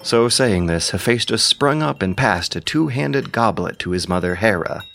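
A man's voice: fairly low pitch, lively intonation, normal speed, vocal fry, a bit bright. A man reading scripted narration as narrator and commentator.

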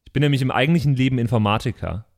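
A man's voice: mid-range voice, racing. Casual man chatting among players. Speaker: tabletop role-playing show player. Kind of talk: casual group chat.

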